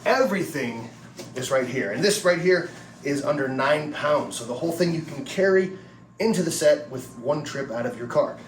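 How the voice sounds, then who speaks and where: A man giving a casual product review and demonstration in a plain-spoken, explanatory way. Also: on the high side. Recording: roomy, distant mic with background noise.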